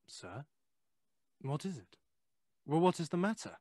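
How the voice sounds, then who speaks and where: A man playing a curious, courteous Victorian gentleman in an audio play, speaking in a polite, formal, inquisitive way. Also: fairly high pitch, big pitch swings, unhurried, no room sound, studio quality. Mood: concerned.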